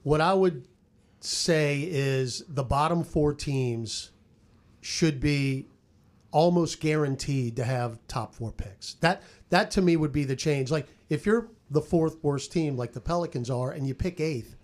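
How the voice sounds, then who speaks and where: A man's voice: fairly high pitch, measured pace. A man on a sports podcast panel talking casually and conversationally, repeating phrases as he thinks.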